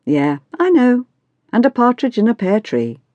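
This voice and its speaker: A woman voicing an orderly, patient but mildly exasperated mother in audiobook dialogue, measured and dryly reproving. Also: fairly high pitch, big pitch swings, dark tone, no room sound, highs cut off, voice pushed up. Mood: wry.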